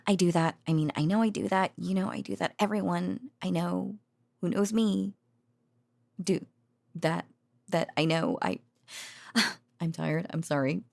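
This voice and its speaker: A tired, doting girlfriend played by a woman murmurs close to the mic in a dry, intimate audio roleplay. Her voice sits fairly low with a heavy creak, and she rambles in halting phrases broken by sighs, like an overworked researcher unwinding with her partner. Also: a little mellow, no room sound. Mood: tired, resigned. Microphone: close, dry studio microphone.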